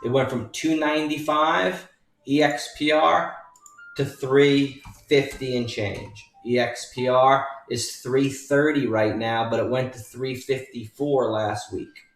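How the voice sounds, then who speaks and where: A man with a mid-pitched voice talks slowly through a trading walkthrough, repeating points for emphasis in an informal way. He sounds set back from the microphone in an echoey room. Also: steady tone. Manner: emphatic, repetitive, addressing viewers as folks. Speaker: stock trading educator and newsletter writer. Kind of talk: informal stock chart walkthrough. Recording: roomy echo, distant mic, faint music.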